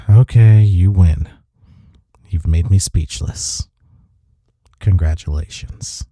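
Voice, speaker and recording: Male actor for tender, teasing coworker love interest, audio roleplay; low voice, slow halting murmurs. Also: mellow, dry recording, studio quality, heavily acted. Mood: breathless, awed. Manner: hesitant, halting, breathy sighs and murmurs. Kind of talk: intimate romantic audio roleplay acting.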